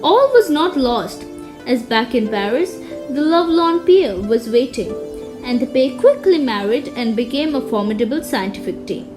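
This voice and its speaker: A woman reading scripted biographical narration for a puppet show in an expressive storytelling way. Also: sits high, normal speed, music in the background.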